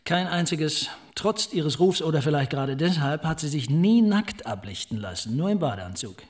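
A man's voice: on the high side, lively intonation, moderate pace, a little mellow, lightly acted. An actor playing a matter-of-fact male magazine picture editor in a German radio drama. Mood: dry, obliging.

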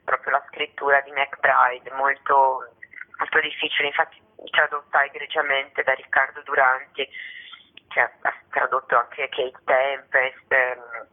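A woman's voice: rumbling low, little pitch movement, a little slow. Female interview guest, theatre performer, phoned in on a tinny line; unscripted, discursive delivery, frequent rephrasing. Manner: discursive, often rephrasing mid-sentence. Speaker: guest theatre actress and author. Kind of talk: spontaneous radio interview talk. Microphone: telephone. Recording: tinny, narrow-band telephone line.

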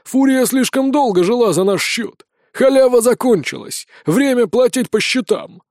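A man voicing a grim male bandit henchman with a hoarse, wheezy voice in a fantasy audiobook. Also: very high-pitched, expressive, moderate pace, a little mellow, dry recording, clean studio sound, pitched above the performer's own voice. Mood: threatening.

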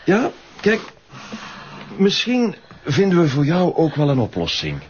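A man's voice: fairly high pitch, huge pitch swings, a little slow. A man with a Flemish accent acting as a predatory charity boss in a crime drama, coaxing and soothing with false kindness. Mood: oily, appraising. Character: smooth-talking, predatory male charity boss feigning kindness. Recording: dull lo-fi audio with faint noise.

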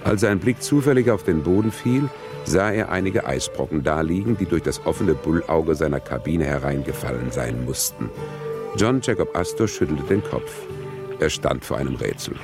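A man's voice: rumbling low, lively intonation, normal speed, music in the background. A man narrating a radio play in a formal, sober manner.

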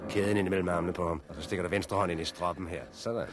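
Very deep brisk commanding man, acted. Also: steady tone, lightly acted. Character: brisk, hard organiser issuing orders and warnings. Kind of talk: 1960s radio drama acting. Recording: noisy old radio drama recording.

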